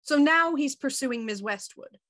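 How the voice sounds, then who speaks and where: A woman playing a cool, dryly skeptical police inspector in a radio mystery, asking measured, probing questions. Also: high-pitched, animated, bright, forceful tone, full character voice. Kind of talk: radio mystery acting, live online table read.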